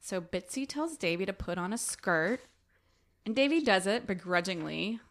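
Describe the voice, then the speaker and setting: A woman with a medium-pitched voice chats as a podcast co-host. She recaps and explains in a relaxed, conversational way, as if talking a friend through a book. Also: moderate pace. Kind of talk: casual book discussion with recapping.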